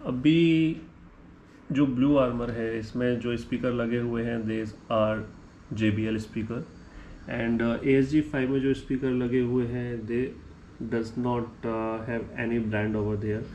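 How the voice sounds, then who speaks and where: A man's voice: medium pitch, fairly even intonation, takes it slowly, a little mellow, highs cut off. A man with an Indian accent giving an unscripted, explanatory comparison review, switching between Hindi and English and checking in with the listener as he goes. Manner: ends points with a checking "right?". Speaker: motorcycle gear reviewer. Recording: echoey room with faint background noise.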